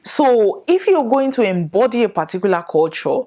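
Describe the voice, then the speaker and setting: A woman's voice: on the high side, big pitch swings, normal speed, dark tone. A woman from northern Nigeria with a Nigerian accent, hosting a solo podcast and giving her views in a reflective way, full of rhetorical questions. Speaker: podcast host. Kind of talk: solo opinion commentary to camera.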